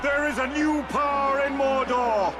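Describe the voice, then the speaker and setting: A man's voice: extremely high pitch, measured pace, a loud crowd. A man playing a commanding, fervent war leader in a video game, declaiming in a projected voice to rally an army. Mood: triumphant. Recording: loud music behind.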